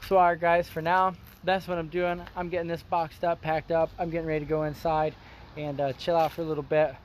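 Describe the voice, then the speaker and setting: A man hosting a car vlog outdoors, explaining his install in a plain-spoken, folksy way, his voice a bit hoarse. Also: on the high side, little pitch movement, a little fast, slight background noise. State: slightly hoarse. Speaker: car customizing channel host. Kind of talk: casual how-to vlog.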